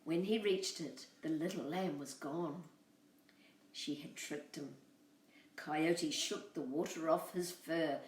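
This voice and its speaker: A woman telling a storybook tale to children, expressive and speaking directly to her young listeners. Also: deep voice, takes it slowly, slight background noise. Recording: roomy echo, far from mic.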